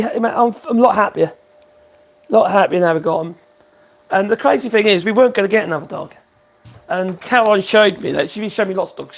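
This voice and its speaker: A chatty man in his fifties, hosting a relaxed woodworking livestream and wandering from topic to topic. His voice sits unusually high for a man and carries a rough, gravelly crackle. He drifts into a cooing, sing-song tone whenever he talks to his puppy. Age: 50s. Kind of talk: casual livestream chat.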